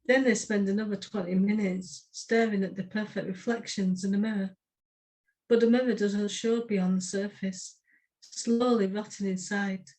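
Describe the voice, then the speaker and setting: A woman's voice: mid-range voice, darkish tone. A woman co-hosting a podcast, reading her own poetry and chatting casually between pieces. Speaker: podcast co-host, poet.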